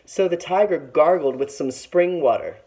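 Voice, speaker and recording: Low-voiced, very animated woman reading a story. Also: moderate pace, low-quality recording. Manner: expressive, clearly phrased, explanatory. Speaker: teacher reading aloud. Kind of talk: storybook read-aloud with short lesson asides.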